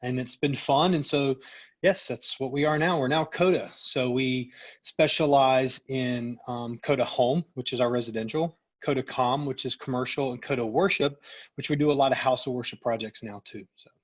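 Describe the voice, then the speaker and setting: A man's voice: mid-range voice, normal speed. Middle-aged man, slightly dull lo-fi recording. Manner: conversational, peppers talk with "you know" and "right?". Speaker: company executive, interview guest. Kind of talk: casual interview conversation.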